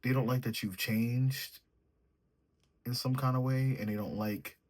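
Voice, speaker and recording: A man with a mellow, sleepy way of talking, reading tarot cards and thinking aloud as he draws them. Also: medium pitch, fairly even intonation, measured pace. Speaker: tarot reader. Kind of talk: solo tarot reading, casual chat.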